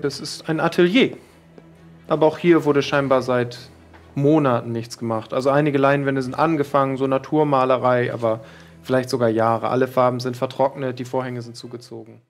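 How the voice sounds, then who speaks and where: A man narrating a horror tabletop game as game master, describing scenes in a deliberate, matter-of-fact way. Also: medium pitch, fairly even intonation, faint music behind.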